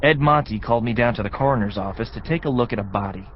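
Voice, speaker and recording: An actor playing an easygoing, reassuring investigator in an audio drama, casual, wry and steady. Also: male voice, mid-range voice, fast, dull audio, slight background noise, lightly acted. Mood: somber narration. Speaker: actor in a radio play. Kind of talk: audio drama acting with noir-like narration.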